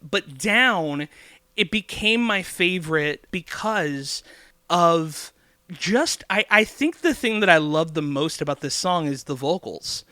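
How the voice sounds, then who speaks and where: A fairly high, bright voice from a man who loves to talk music. He rambles eagerly through thoughts, piling on fillers as he goes. The sound is crisp and dry, right up on a close mic. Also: expressive, takes it slowly, no room sound. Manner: enthusiastic, rambling with many fillers. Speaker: podcast guest, singer-songwriter. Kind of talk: casual music chat.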